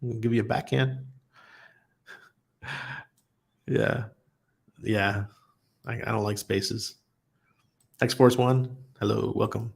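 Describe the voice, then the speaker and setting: Gravelly, husky-voiced man hosting a livestream. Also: on the low side, steady tone, slow, long pauses. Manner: blunt and chatty, laughs often. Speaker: livestream host. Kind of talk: casual livestream chat, reading viewer comments.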